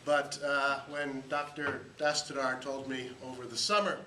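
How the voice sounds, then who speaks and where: A man's voice: mid-range voice, measured pace. A man giving a conference talk with dry humor and frequent fillers, recorded from across a room at a university event. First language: English. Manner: relaxed, wry lecturing with frequent "uh" fillers. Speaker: conference speaker. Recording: echoey room, distant mic, faint hiss.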